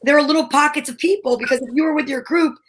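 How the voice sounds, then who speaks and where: A woman talk show host chatting casually, telling stories in an animated, rambling way. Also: high voice, fast talker. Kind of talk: casual chat.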